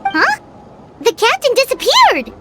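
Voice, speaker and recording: A woman voicing a tiny, childlike, outspoken fairy companion in a video game, squeaky and cutesy. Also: wildly swooping pitch, measured pace, exaggerated voice. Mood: surprised.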